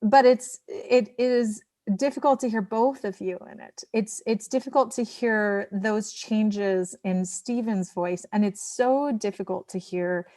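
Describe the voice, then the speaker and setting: A woman in a casual discussion, speaking in a halting, rambling and emphatic way as a podcast guest. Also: medium pitch, warm tone, dry recording.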